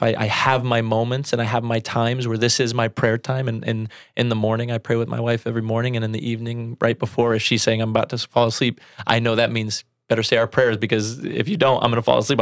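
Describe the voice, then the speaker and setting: A man's voice: medium pitch, dry recording, studio quality. A man in his thirties co-hosting a church talk show, telling stories casually. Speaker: church pastor and co-host.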